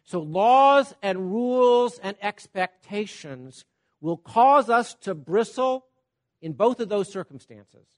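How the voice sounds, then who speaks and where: A man preaching a sermon, teaching with everyday examples, checking in with "Okay?" and swinging between loud emphasis and quiet asides. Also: sits high, animated, takes it slowly. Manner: repeats "Okay?" to check on listeners.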